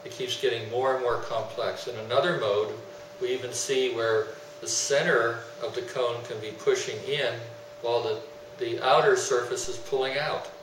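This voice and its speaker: A man giving an explanatory technical presentation in a deliberate, didactic way, stressing his key terms. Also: mid-range voice, fairly even intonation, fairly bright tone. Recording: roomy, distant mic, faint hum.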